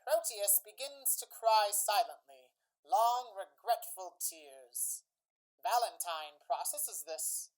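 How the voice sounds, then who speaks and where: A woman giving scripted dramatic narration as the storyteller of a radio play, in measured phrases. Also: medium pitch, expressive, poor audio.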